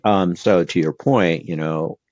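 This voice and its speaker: A man's voice: low-pitched, a little slow, a little mellow, dry recording, highs cut off. A man from Brazil whose first language is Portuguese, giving earnest advice as an interview guest with lots of fillers and restarts. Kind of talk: casual interview talk.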